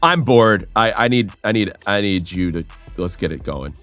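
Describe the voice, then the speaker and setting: A man hosting a DJ podcast who reads out quick either-or questions and chats casually. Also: lowish voice, lively intonation, fairly bright tone, faint music behind. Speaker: DJ and podcast host.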